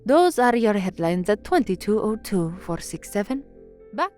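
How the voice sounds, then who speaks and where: An earnest young female newsreader in a science fiction radio play, acted by a woman. She speaks formally with an uneasy undercurrent, at a middling pitch. Faint music plays behind her. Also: very animated, normal speed, darkish tone, close to an everyday voice. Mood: crisp, formal. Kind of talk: audio drama acting, formal newsreading and introspective narration.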